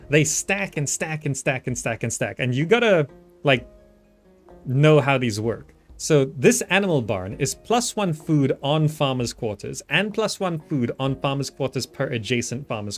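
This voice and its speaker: A man's voice: mid-range voice, animated, unhurried, soft background music. A man giving casual gameplay commentary, thinking aloud and repeating himself as he works things out. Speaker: gamer, channel host.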